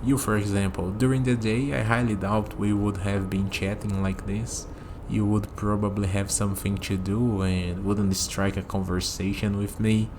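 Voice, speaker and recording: A man's voice: lowish voice, flat delivery, moderate pace, noisy background, lightly acted. A man acting a friendly, easygoing human on a night walk in an ASMR roleplay, musing conversationally to the listener. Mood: reflective, warm.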